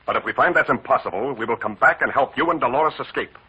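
A man's voice: mid-range voice, fast, sharp tone. An actor playing a commanding male hero pilot in a 1940s radio drama. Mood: brisk commanding. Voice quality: crisp, commanding. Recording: dull lo-fi old radio broadcast.

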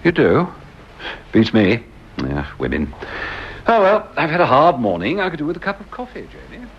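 A man's voice: a little high, huge pitch swings, breathy edge, heavily acted. An actor playing a boastful, jaunty businessman in a dull, lo-fi old radio drama recording. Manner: jaunty, chummy, quick to brush off worries. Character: breezy, boastful male businessman, middle-aged.

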